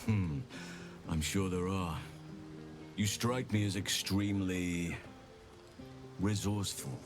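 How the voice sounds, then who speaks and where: An actor playing a gracious, measured gentleman companion in a fantasy video game, speaking politely and formally. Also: low voice. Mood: courteous, encouraging. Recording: music playing behind the voice.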